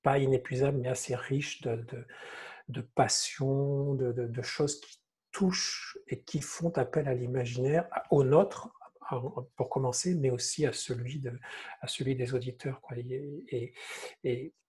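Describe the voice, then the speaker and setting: A man's voice: mid-range voice, steady tone, unhurried, darkish tone, slightly rough. A man talking casually and reflectively as a guest writer on a panel, hesitant and repeating words as he searches for them. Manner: hesitant, groping for words with repetitions.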